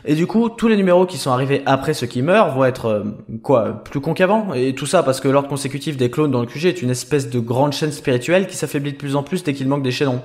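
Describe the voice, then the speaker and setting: A man's voice: medium pitch, quick pace, few pauses, darkish tone, close to an everyday voice. Flustered, rambling man playing a henchman. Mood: exasperated, sarcastic. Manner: rambling, hesitant, exasperated. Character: flustered, sarcastic, foul-mouthed henchman clone. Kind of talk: comedic audio drama acting.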